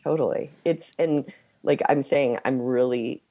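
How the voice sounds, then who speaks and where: A woman co-hosting a podcast, hoarse from losing her voice, talking in a halting, hesitant way with many pauses. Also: extremely low pitch, warm tone, no echo. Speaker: podcast co-host. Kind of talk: casual conversation.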